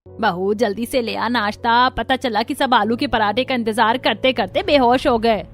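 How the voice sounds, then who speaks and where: A woman's voice: a little high, normal speed, soft background music, close to an everyday voice. A woman voicing chatty, opinionated women of an Indian household in a cartoon family story. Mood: bossy.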